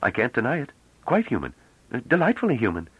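Male narrator as mild male scholar, courteous, hesitant, cautious, lifted slightly husky voice, storybook character. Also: medium pitch, huge pitch swings, fairly quick, no room sound, highs cut off, pitched above the performer's own voice. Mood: gentle, gallant.

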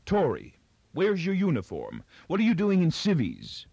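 Man as a poised young woman, raised pitch. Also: sing-song, moderate pace, no room sound, muffled recording. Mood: eager, surprised. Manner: light, indifferent, pointed. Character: spirited, composed young woman with cool poise.

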